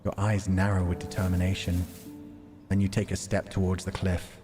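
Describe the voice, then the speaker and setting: A man's voice: low-pitched, little pitch movement, normal speed, over music. A man hosting a game stream, reading visual novel narration aloud and chatting casually about it. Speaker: livestream host playing a visual novel.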